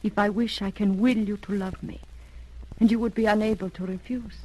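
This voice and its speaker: An actress in a 1930s radio drama playing a seductive, imperious hypnotic villainess who speaks slowly and commandingly. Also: female voice, mid-range voice, fairly even intonation, darkish tone, close to an everyday voice. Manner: slow, deliberate, commanding. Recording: noisy old 1930s radio recording.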